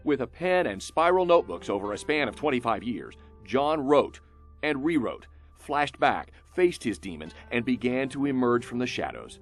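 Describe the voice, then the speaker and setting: An American man narrating a scripted human-interest news feature as a reporter, in short emphatic phrases with dramatic pauses. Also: medium pitch, big pitch swings. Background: music under the voice.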